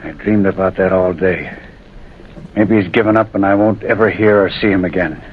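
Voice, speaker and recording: A man's voice: low voice, normal speed, close to an everyday voice. A haunted railway signalman , acted by a man, close to breaking point. He pleads and frets in old radio drama fashion, on a dull recording with faint noise. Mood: weary, haunted. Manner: fretful, pleading, repeats himself.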